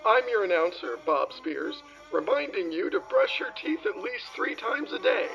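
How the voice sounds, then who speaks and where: An actor playing a cheerful male 1930s radio announcer giving a sponsor's sales pitch. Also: sing-song, moderate pace, vocal fry, fairly bright tone, lo-fi sound, soft background music, full character voice. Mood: chipper.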